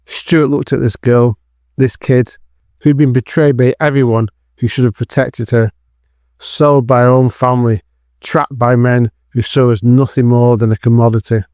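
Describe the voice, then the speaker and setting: A man's voice: mid-range voice, flat delivery, moderate pace, darkish tone, no echo, studio quality. A man narrating a scripted thriller drama in the third person.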